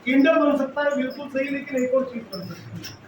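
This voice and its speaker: A man's voice: extremely high pitch, expressive, brisk. A man giving informal, encouraging step-by-step instruction as a drawing teacher. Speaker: cartoonist teaching a children's drawing workshop. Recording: echoey hall, distant mic, noisy.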